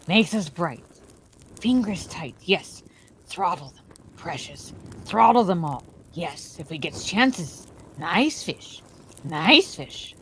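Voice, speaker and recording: A woman's voice: lowish voice, heavily acted, rough recording. Gollum, a hissing wretch a woman puts on. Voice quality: hissing, whispery. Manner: slow, wheedling, talks to himself. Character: wretched hissing male creature, greedy and sly.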